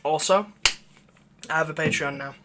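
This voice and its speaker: A man's voice: on the high side, normal speed, noisy background. A man who creates audio roleplays, chatting casually in a rambling, hesitant, informal way as he addresses his viewers. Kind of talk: casual outro chat with plugs.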